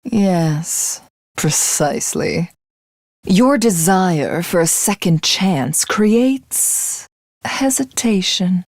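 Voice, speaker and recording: Game villainess: proud alien supreme commander, imperious and measured, mid-pitched with animated intonation, unhurried delivery. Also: female voice, dry recording, clean studio sound, full character voice. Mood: calm, philosophical. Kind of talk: video game character voice acting.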